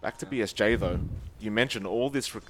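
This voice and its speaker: A man with an Australian accent, casting a competitive game match with brisk play-by-play and playful teasing of his co-caster. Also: fairly low pitch, fairly bright tone, slight background noise. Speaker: esports caster.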